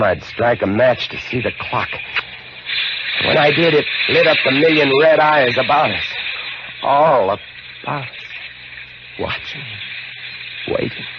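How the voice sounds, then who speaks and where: An actor playing a shaken male lighthouse keeper, telling his story in hushed, dramatic narration for a 1950s radio drama. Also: medium pitch, noisy background. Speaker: lead actor narrating in first person. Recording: lo-fi old radio broadcast with music.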